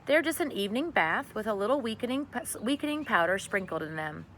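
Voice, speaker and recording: A woman voicing a gentle, wise, reassuring neighbour lady in a children's storybook. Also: mid-range voice, animated, fairly quick, slight background noise, lightly acted. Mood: matter-of-fact. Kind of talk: children's storybook read aloud.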